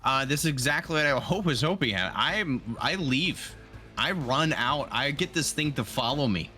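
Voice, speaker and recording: Bright-voiced man playing a tabletop game. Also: medium pitch, animated, normal speed, faint music behind. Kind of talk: casual game chat.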